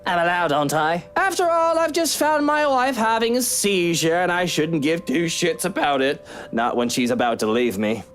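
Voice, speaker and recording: A woman's voice: lowish voice, animated, moderate pace, close to an everyday voice. A woman voicing a bitter, scheming husband in a visual novel, sardonic and theatrical. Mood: bitter sarcasm. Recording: music behind the voice.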